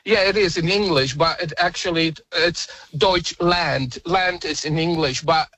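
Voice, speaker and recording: A man from Iraq whose first language is Assyrian, calling in to a radio show with a fairly high, bright and forceful voice. He talks in a friendly way but halts and hunts for words, and his voice comes through dry. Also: unhurried, dry recording. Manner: friendly, hesitant, with fillers. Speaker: caller. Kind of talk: phone-in chat.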